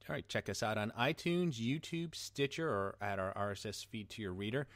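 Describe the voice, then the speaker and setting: A man's voice: on the low side, brisk, fairly bright tone, dry recording. A man hosting a podcast in a casual chatting style. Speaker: podcast host.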